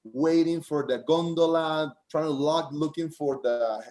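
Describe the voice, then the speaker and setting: Halting Costa Rican Spanish-accented man. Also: a little high, dark tone. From Costa Rica. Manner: halting, frequent restarts, keeps saying "man". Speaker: first-time open mic comedian. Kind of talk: rambling stand-up set on a video call. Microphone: video call.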